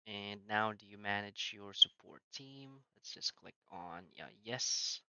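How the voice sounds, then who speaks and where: A man talks through a software setup step by step, his lowish voice carrying a very bright, sharp edge. He leans on "so" and "uh" between plain instructions. The sound is dry and dull, like a modest lo-fi mic. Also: a little slow, smooth, dry recording. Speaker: software tutorial narrator. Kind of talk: screen-recorded walkthrough narration.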